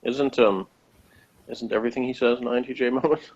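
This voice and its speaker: A man's voice: mid-range voice, fairly even intonation, fairly quick, darkish tone, dull audio. A man chatting informally as he co-hosts a TV review, talking in a hesitant, rambling way. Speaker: co-host of a TV review show. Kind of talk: casual review chat.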